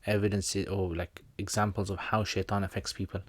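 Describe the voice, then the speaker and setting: Low-voiced man chatting casually. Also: level tone, fairly quick, husky, dry recording. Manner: jokey, rambling, with slangy asides. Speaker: Islamic teacher hosting a livestream Q&A. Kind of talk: casual livestream chat, answering viewer comments.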